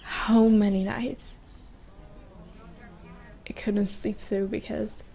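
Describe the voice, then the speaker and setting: A woman playing a vulnerable young woman confessing love in an intimate ASMR roleplay, halting and hesitant, often repeating her words. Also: mid-range voice, flat delivery, vocal fry, dark tone. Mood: torn, yearning.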